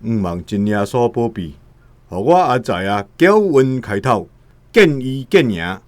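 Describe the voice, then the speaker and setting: A man's voice: medium pitch, sing-song, unhurried, darkish tone, no room sound. A man with a Taiwanese accent playing an earnest, hard-up gambler in a radio drama, speaking emphatically. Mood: pleading.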